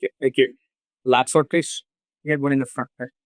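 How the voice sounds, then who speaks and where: A man's voice: medium pitch, fairly even intonation, a little fast, no echo, studio quality. A man moderating a live discussion in an informal, conversational way.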